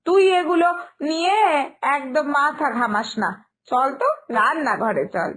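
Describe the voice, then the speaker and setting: A woman's voice: high voice, very animated, unhurried, fairly bright tone, a little hiss or hum, heavily acted. A woman voicing a lazy, slovenly aunt in a cartoon family story, breezy and chatty, scoffing at fuss. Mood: dismissive. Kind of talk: animated Bengali family story dialogue.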